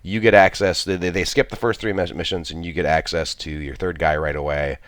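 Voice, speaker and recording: A man's voice: sits low, fairly even intonation, a little fast, dry recording. A man with a stuffy cold, commenting on a strategy game he plays, thinking aloud with many restarts. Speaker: gameplay commentator.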